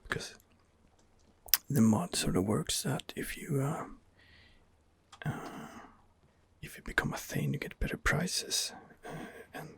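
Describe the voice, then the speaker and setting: A man's voice: medium pitch, expressive, slow, creaky, pauses often, a bit bright, slightly rough, no echo. A man giving whispered ASMR commentary on a game as its host, in a hesitant, rambling manner. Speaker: gaming video host.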